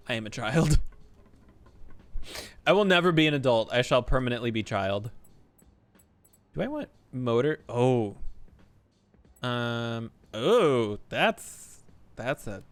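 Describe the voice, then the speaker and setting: A man's voice: medium pitch, fairly bright tone. A man in his twenties talks his way through a game stream in loose, casual chat. His voice moves up and down a lot and sits at an ordinary middle height. He drifts from topic to topic, drops swear words freely and turns jokes on himself. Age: 20s. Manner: rambling, swears freely, self-mocking.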